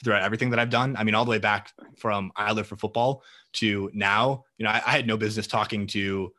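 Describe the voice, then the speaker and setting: Young male guest, quick and bright, low-pitched, sure of himself, rarely hesitates. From Minnesota. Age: young adult. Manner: confident, fluent, energetic talker. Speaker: guest, marketing entrepreneur. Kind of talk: podcast interview answers.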